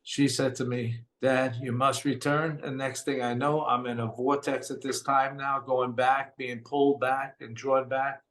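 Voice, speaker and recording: A man's voice: flat delivery, a little slow, few pauses. An older man with a New York accent earnestly telling his own story, often quoting what others said to him. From Brooklyn, New York. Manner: earnest, retells dialogue in quotes, voice drops low and quiet at hard moments. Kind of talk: personal storytelling.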